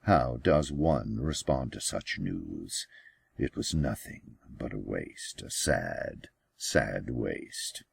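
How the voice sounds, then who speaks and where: A man reading his own fiction as an audiobook, with deliberate, dramatic phrasing and pauses. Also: rumbling low, lively intonation, gravelly, slightly rough, dry recording, clean studio sound. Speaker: author reading own novel. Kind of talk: scripted audiobook narration.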